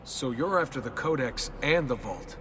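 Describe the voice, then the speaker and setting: A man's voice: mid-range voice, animated, moderate pace, background noise, natural voice. A man playing a wry, questioning young everyman hero in a video game, speaking casually. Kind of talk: video game cutscene dialogue. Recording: music behind the voice.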